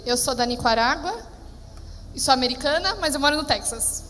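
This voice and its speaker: A woman interpreting live on stage, lively and quick. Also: high-pitched, a little hiss or hum. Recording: reverberant hall, faint ambience.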